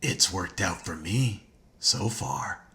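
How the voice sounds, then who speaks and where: An actor playing a confident, sly, menacing hunter in a space video game, with a dry, taunting manner. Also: male voice, low-pitched, lively intonation, slow, crowd noise. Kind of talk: video game character acting.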